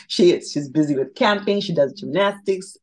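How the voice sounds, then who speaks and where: Husky low-voiced woman, animated. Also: moderate pace. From Zimbabwe. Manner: animated storyteller, laughs often. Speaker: guest, makeup brand founder. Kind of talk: casual interview chat.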